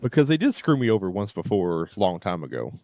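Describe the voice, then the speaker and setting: A man's voice: fairly low pitch, sing-song, fast, a little mellow, no echo, lo-fi sound. A man chatting casually on a livestream, informal and rambling, with repeated filler phrases and some cursing. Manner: informal, mildly cursing, repetitive "you know". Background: faint game music and dialogue.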